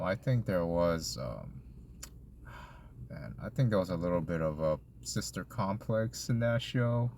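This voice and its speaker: A man's voice: sits low, darkish tone, a little hiss or hum. A man co-hosting a casual podcast, rambling with lots of fillers as he recaps a show. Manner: rambling, lots of likes and ums. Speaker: podcast co-host. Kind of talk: casual chat recapping a convention.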